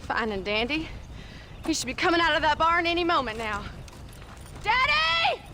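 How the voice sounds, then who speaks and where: A woman playing a sharp-tongued rancher's daughter, sarcastic and haughty, with an American accent in a western video game. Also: extremely high pitch, big pitch swings, normal speed, a little hiss or hum, exaggerated voice. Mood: brittle cheer.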